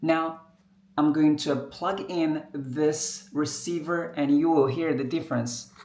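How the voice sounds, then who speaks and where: Mellow-voiced man presenting a gadget. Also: on the high side, steady tone, slow. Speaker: vlogger reviewing a microphone. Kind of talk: casual product demo to viewers.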